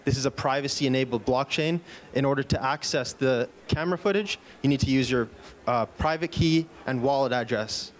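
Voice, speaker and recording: A man's voice: medium pitch, moderate pace, few pauses. A man in his thirties giving a product keynote at a conference, upbeat, with a few fillers and restarts, speaking into a handheld mic on an indoor stage. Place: conference stage in a large indoor event room. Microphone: handheld microphone.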